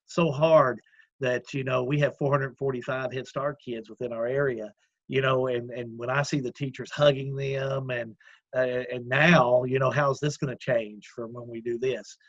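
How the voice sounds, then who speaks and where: A man with a loud voice, an executive director talking earnestly in a casual interview, with many fillers and restarts. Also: mid-range voice, steady tone, moderate pace, no room sound, highs cut off. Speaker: community action executive director. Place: at home, remote call.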